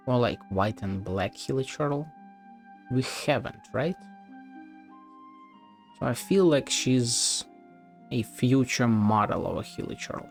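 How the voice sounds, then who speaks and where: A man giving casual game commentary as a streamer, musing aloud with sardonic, sweary remarks. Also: mid-range voice. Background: faint game music.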